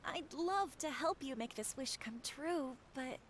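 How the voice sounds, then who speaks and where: An actress playing a polite, apologetic shopkeeper auntie in a video game. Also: female voice, high voice, animated, a little slow, slight background noise. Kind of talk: video game cutscene voice acting.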